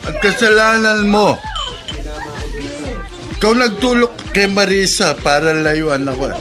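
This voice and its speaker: A man's voice: high voice, slow pace. An actor playing a bitter, heartbroken young man in a Filipino radio drama, sulky, stubborn and accusing. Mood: bitter, menacing. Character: bitter heartbroken young man, drinking, blaming his cousin. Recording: loud music behind the voice.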